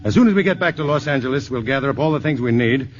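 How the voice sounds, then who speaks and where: Lead scientist, male, steady and resolute, played by an actor; old radio broadcast sound. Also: medium pitch, very fast, lightly acted. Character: calm male scientist leading the team. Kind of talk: 1950s radio drama acting. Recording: muffled vintage radio broadcast.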